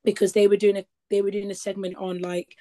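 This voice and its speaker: A woman's voice: mid-range voice, little pitch movement, fast, dark tone. Chatty young woman. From East Midlands, England. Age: 20s. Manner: chatty, rambling with many likes and ums. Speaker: guest. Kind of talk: casual interview chat.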